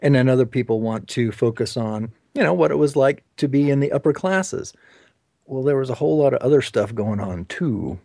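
A man co-hosting an interview, talking casually in a discursive, halting way. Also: medium pitch, expressive, gravelly, mellow, dry recording, studio quality. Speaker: co-host.

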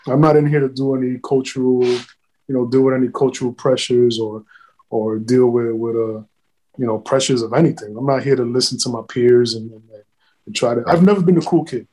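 A man's voice: mid-range voice, fairly even intonation, brisk, warm tone, low-quality recording. A man, a guest artist talking bluntly and swearing freely in a casual podcast chat. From South Bronx, New York.

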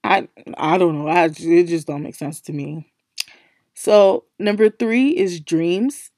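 A young woman hosting a casual solo podcast, her voice still low and worn from recently losing it, chatty and emphatic, circling back to repeat her points. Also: takes it slowly, darkish tone, no echo, studio quality. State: recovering from a lost voice, speaking low. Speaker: podcast host.

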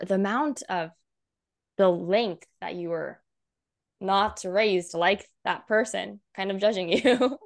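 Young woman guest, casual rambling talker, some vocal fry. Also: medium pitch, a little mellow. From United States. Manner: rambling, many "like" fillers, frequent laughs. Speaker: guest content creator. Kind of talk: casual chat.

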